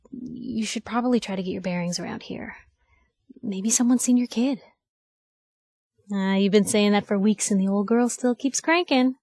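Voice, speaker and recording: A woman's voice: mid-range voice, darkish tone, dry recording, natural voice. An actress playing a plucky, sardonic young woman reporter in a post-apocalyptic video game, with a wry, sassy, informal way of talking. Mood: brisk, caring. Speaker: video game companion character. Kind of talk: video game voice acting, conversational one-liners.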